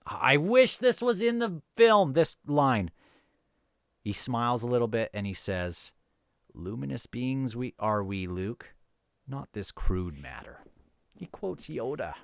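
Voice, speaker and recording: A man's voice: mid-range voice, sing-song, slow, gravelly, no room sound, studio quality. A man casually talking through a book and reading bits aloud, informal and musing, sometimes stumbling over his words. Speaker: host of a book review show. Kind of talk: casual book discussion with read-aloud passages.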